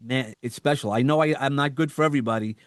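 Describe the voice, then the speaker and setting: A man with a New York accent, a coach talking as a podcast guest, energetic and quick to repeat words and act out quotes. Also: mid-range voice, no room sound, studio quality. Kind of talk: casual interview chat.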